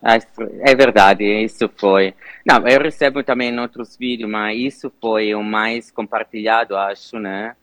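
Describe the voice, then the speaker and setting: A man from Italy with an Italian accent, a guest in a relaxed interview, chatty and laughing often, with halting non-native phrasing. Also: lowish voice, dry recording, poor audio. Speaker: guest, Instagram cooking creator.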